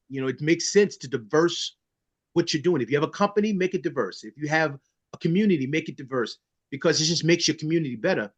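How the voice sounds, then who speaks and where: A man's voice: a little high, a bit bright. Emphatic Bronx man, slightly muffled. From the Bronx, New York. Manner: emphatic, storytelling, repeats words. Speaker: co-host. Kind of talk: community interview. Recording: dull lo-fi sound.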